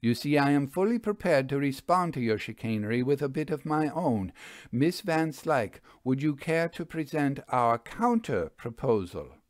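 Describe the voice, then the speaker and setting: A man's voice: mid-range voice, sing-song, normal speed, dry recording, clean studio sound, pitched above the performer's own voice, close to an everyday voice. A man voicing a self-assured, unruffled and defiant stage magician in an audiobook, with confident, formal, flourishing speech. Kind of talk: audiobook character dialogue.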